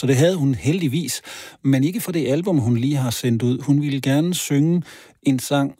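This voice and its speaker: A man presenting a radio segment in a calm, scripted way. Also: medium pitch, husky, no room sound, studio quality.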